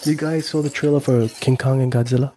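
A man's voice: mid-range voice, fairly even intonation, fairly quick, warm tone, a little hiss or hum. Laid-back man chatting on a livestream. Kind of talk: casual livestream chat. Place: small room at home. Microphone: handheld-style recorder on a stand, close up.

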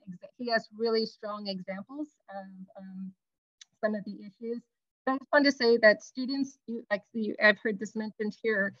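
A woman's voice: medium pitch, dry recording. Woman teacher, hesitant, restarts often, dry muffled call audio. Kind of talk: webinar discussion.

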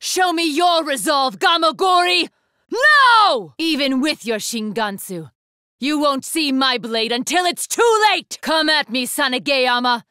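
A woman's voice: high-pitched, expressive, unhurried, sharp tone, dry recording, studio quality, heavily acted. An actress playing an imperious, ruthless young woman warrior, speaking in formal, haughty declamations for an anime fighting game. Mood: furious, defiant.

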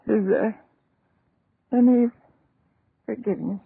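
An aged, sorrowful mother telling a grim tale in a 1930s radio play. Her voice is very husky and breathy, halting and slow. It comes through a thin, narrow old recording. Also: fairly high pitch, dry recording, full character voice. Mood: anguished confession. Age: older. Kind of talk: 1930s radio acting, dramatic narration.

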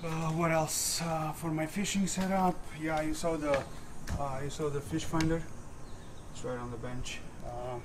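A man giving an unscripted casual walkthrough as a vlogger, halting and hesitant, often pausing mid-sentence. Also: on the high side, fairly even intonation. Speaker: vlogger showing his gear. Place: outdoors in a residential driveway. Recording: clear outdoor ambient noise.

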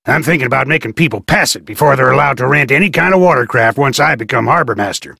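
An actor playing a gruff, blunt fisherman in a video game, folksy and dismissive. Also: medium pitch, animated, fast, sharp tone, dry recording, clean studio sound, heavily acted. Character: gruff fisherman and harbor master hopeful, blunt.

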